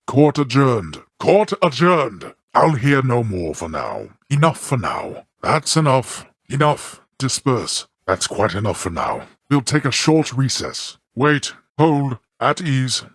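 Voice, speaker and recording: A male actor voicing a stern, scornful god of the underworld in a video game, with a husky voice and formal, disdainful, imperious delivery. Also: mid-range voice, sing-song, slow, a bit bright, dry recording, clean studio sound, exaggerated voice. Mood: dismissive, curt. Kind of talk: theatrical video game character lines.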